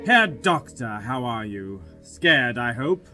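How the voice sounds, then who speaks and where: A man's voice: medium pitch, very animated, slow, over music. A man playing a cruel, megalomaniac villain in an audio drama, theatrical and grandiose. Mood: cold menace. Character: megalomaniac German Nazi villain, cruel and menacing.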